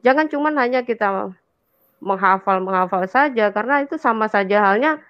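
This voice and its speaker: A woman's voice: medium pitch, lively intonation, brisk, no room sound, muffled recording. An Indonesian woman in an impassioned, conversational religious discussion, emphatic and colloquial, repeating phrases and checking for agreement. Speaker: talk show speaker on religion.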